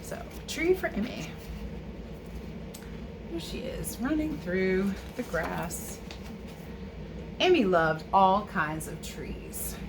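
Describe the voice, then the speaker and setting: A woman's voice: medium pitch, very animated, takes it slowly, pauses often. A woman teacher reading a picture book aloud to children with chatty asides. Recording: clear background ambient noise.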